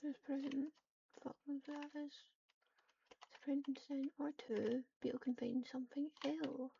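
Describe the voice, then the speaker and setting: A woman with a fairly high, mellow voice reads out roleplay lines as she games. She drops into casual, muttered asides to herself. The recording is dull, with some noise, typing and clicks behind her. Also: level tone, moderate pace, creaky. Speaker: online game player roleplaying. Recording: dull lo-fi mic, keyboard clatter.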